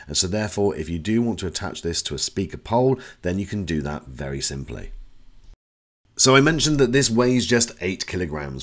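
A man giving a conversational, explanatory product review as a voice-over. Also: low-pitched. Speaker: tech reviewer.

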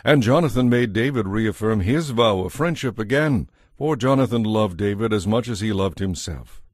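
A man's voice: lowish voice, expressive, moderate pace, no echo, clean studio sound. A man narrating a dramatized audio Bible as scripted storytelling.

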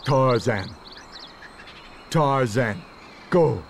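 An actor playing a simple, curious, gentle wild man of the jungle in a video game, halting and repeating single words in broken speech. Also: medium pitch, animated, vocal fry, slight background noise, exaggerated voice. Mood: intent, simple. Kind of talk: video game cutscene voice acting.

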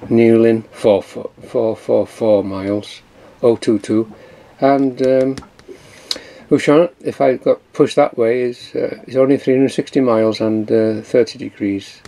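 A man's voice: mid-range voice, steady tone, a little slow, warm tone. A man narrating a casual video diary from his sailboat, hesitant and rambling, with many ums and uhs, recorded on a handheld camera. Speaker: solo sailor filming his voyage. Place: on a sailboat at sea and in harbour. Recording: noisy outdoor camera audio with wind. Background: wind and water noise.

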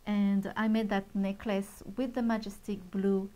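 Woman, middle-aged, soft-spoken, patient tutorial explainer, lapel mic, studio. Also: mid-range voice, level tone, unhurried. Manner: soft-spoken, gently explains steps with fillers. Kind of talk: casual show-and-tell and beading tutorial. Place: bright studio set at a table.

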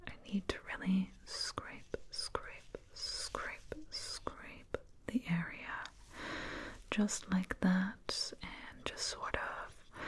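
A woman performing a whispered ASMR roleplay as a soothing, condescending alien dentist, breathy and close to a binaural microphone, repeating words rhythmically. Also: lowish voice, a little mellow. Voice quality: breathy, mostly unvoiced whisper. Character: soothing, condescending female alien dentist tending a human patient.

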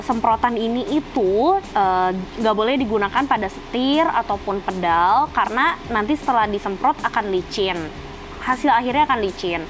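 A woman in her twenties with a Jakarta accent, presenting a casual how-to video to the camera in a chatty, slangy way with frequent "eh" fillers. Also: mid-range voice. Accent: Jakarta Indonesian. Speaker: car channel presenter. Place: outdoors by a car in a residential driveway. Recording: music playing behind the voice.

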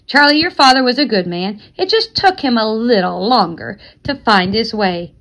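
A woman's voice: a little high, animated, normal speed, a bit bright. A woman voicing an affectionate, loyal old grandmother reminiscing in a storybook read-aloud. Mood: defensive.

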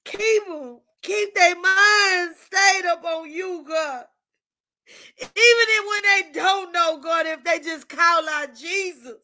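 A woman prays fervently through tears, her very high, bright voice breaking into cries as she repeats her pleas. She speaks slowly and drags out each line, ending almost every phrase with a hushed "God.". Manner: impassioned, repeating "God" after each phrase, often crying out. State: crying. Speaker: mother leading a prayer. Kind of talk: fervent spoken prayer.

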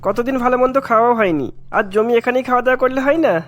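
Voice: medium pitch, very fast, close to an everyday voice. A performer voicing a sly, greedy elderly great-uncle in a Bengali cartoon, genial and wheedling.

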